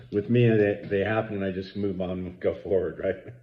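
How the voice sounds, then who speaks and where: A man's voice: sits low, fairly even intonation, measured pace, dull audio, slight background noise. A man, talking earnestly and rambling with many fillers in a casual spoken reflection. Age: 50s. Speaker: vlogger.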